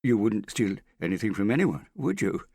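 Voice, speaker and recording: A man's voice: lowish voice, big pitch swings, moderate pace, dry recording, clean studio sound, voice pushed up. A man voicing a paranoid, frightened, hard-drinking man in hiding, agitated and pleading, in an audiobook. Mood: anxious pleading. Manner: agitated, suspicious, pleading, prone to shouting. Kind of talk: audiobook character acting.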